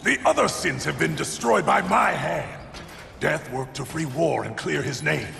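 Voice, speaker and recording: An actor playing a grim, proud male horseman of the apocalypse in a video game, gravelly and solemnly declamatory. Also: fairly high pitch, lively intonation, measured pace, bright, forceful tone, heavily acted. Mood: grim, resolute. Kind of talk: video game cutscene acting. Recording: echoey hall reverb, faint noise.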